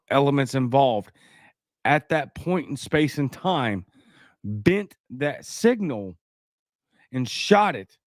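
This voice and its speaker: A man's voice: mid-range voice, a little mellow, no echo. A man with a Cajun accent hosting a casual livestream, reading news and viewer comments with skeptical, rambling asides. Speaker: livestream host.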